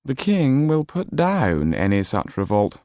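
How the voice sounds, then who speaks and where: Haughty callous marquis, raised pitch, swooping intonation, drawling disdain, audiobook character. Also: male voice, sing-song, a little slow, darkish tone, no room sound, clean studio sound. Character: haughty, callous French marquis, pompous and dismissive.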